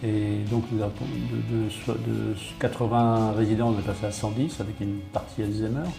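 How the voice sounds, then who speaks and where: A man's voice: lowish voice, little pitch movement, moderate pace, creaky, mellow. A man explaining things as a town mayor in an interview, matter-of-fact, listing points and often rephrasing himself. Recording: roomy, echoey sound under guitar music.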